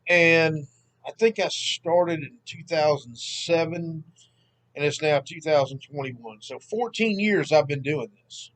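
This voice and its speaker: A man in his thirties with a Southern Mississippi accent, chatting casually at home as the host of a history channel, relaxed and rambling. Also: fairly high pitch, a little slow. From Southern United States (Mississippi). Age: 30s. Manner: rambling, relaxed, self-deprecating. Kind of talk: casual vlog chat. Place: at home, sitting.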